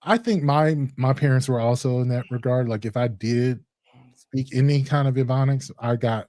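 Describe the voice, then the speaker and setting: Co-host, male, from Chicago, deep rich voice, calm and thoughtful, mid pitch, dry close mic. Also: no echo. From Chicago, USA. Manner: measured, reflective. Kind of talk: casual chat.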